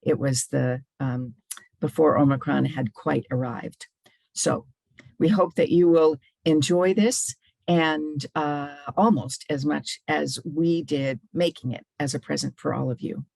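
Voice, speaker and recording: A woman minister giving a relaxed, casual welcome, halting now and then. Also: low voice, vocal fry, no room sound. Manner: relaxed, halting with small pauses.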